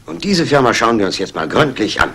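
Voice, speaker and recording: An actor playing a brisk police inspector with clipped, authoritative delivery in a 1960s crime radio play. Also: male voice, mid-range voice, very animated, bright, forceful tone. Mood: brisk, commanding. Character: brisk, commanding male Scotland Yard police inspector. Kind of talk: 1960s German radio crime drama acting. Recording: faintly noisy vintage radio recording.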